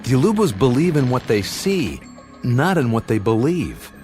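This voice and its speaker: A man's voice: medium pitch, sing-song, normal speed, over music, natural voice. A man playing a mild, earnest travelling companion in a radio play.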